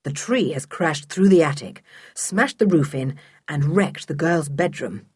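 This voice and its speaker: Irritable storybook uncle, voiced by a woman: lowered, grumbling, curt and fierce. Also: expressive, unhurried, breathy edge, no echo, clean studio sound, heavily acted. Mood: grave. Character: gruff, irritable adult uncle, fierce-tempered. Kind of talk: children's storybook character voice.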